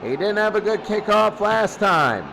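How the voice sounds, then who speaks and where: A man's voice: sits high, big pitch swings, a little slow, vocal fry, a crowd in the background. A man doing football play-by-play as the announcer, often repeating his phrases. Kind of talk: amateur football play-by-play commentary.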